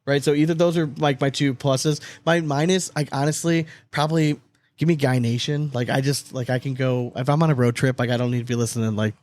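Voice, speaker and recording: A man's voice: medium pitch, steady tone, a little fast, a little mellow, dry recording. A man co-hosting a podcast with casual banter, talking in a blunt, profane, slangy way. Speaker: podcast co-host.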